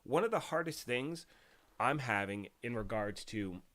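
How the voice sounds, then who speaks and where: A man hosting a gaming live stream, talking casually and greeting viewers by name, a bit raspy while getting over a cold. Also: mid-range voice, lively intonation, a little slow, fairly bright tone. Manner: chatty, greets viewers by name, rambling restarts.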